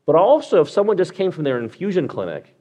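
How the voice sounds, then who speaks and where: A man's voice: fairly high pitch, very animated, fast talker, mellow. Man talking through clinical cases. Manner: conversational, lots of fillers and check-ins. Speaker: podcast host, clinician. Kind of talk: live podcast talk, teaching clinical cases.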